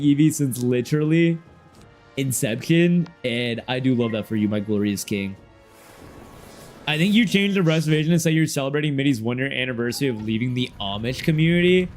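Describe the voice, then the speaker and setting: A young man streaming a game and chatting casually with his viewers, rambling in heavy slang and often trailing off quieter. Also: fairly high pitch, lively intonation, a little fast. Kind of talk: casual livestream chat, reading chat aloud. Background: faint game music.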